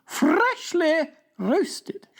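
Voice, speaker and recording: A woman's voice: very high-pitched, very animated, very slow, voice pushed up, heavily acted. Woman playing a stately, prim queen. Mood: regal. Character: regal queen, dignified, politely puzzled. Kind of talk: storybook reading.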